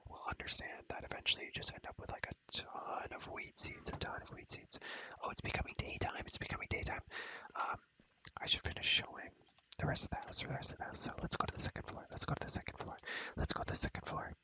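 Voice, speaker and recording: A man's voice: quick pace, low-quality recording. A man whispering an ASMR video game tour, rambling and repeating his phrases over and over. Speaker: video creator showing a Minecraft world.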